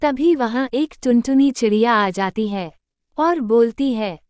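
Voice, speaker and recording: A woman's voice: a little high, lively intonation, unhurried, darkish tone, smooth, no echo, studio quality. A woman reading scripted narration for a children's cartoon story.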